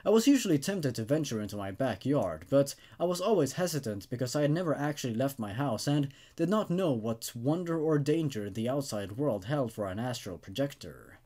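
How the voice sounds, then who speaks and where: A man narrates a scripted first-person horror tale. His voice sits at an average pitch but moves widely up and down. The delivery is measured and dramatic, that of a storyteller reading aloud. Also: very animated, mellow.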